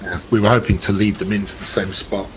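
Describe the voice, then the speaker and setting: A man's voice: fairly low pitch, flat delivery, quick pace, slight background noise, poor audio. A man playing in a tabletop game, thinking his plans aloud with many restarts. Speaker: player. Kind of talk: casual tabletop play.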